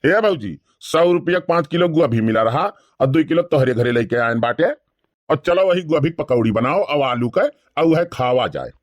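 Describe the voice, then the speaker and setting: A man's voice: mid-range voice, lively intonation, normal speed, no echo, natural voice. A man voicing a gossipy, complaining village uncle in an Awadhi cartoon comedy, with an Awadhi accent. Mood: chatty.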